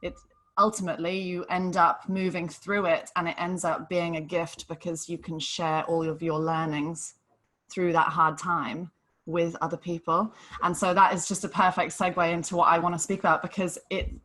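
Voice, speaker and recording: A young adult woman from Hong Kong, a depression coach speaking on a live online panel in an earnest, gentle, explanatory way. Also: on the low side, level tone, normal speed.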